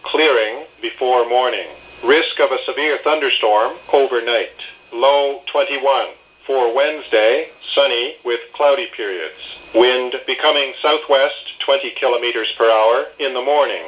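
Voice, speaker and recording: Robotic guy reading weather radio bulletins, mid pitch, choppy phrasing, muffled lo-fi radio sound. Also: a little slow. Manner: synthetic, segmented announcing of forecast items. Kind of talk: automated scripted weather bulletin. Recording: dull lo-fi radio broadcast. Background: weather radio broadcast.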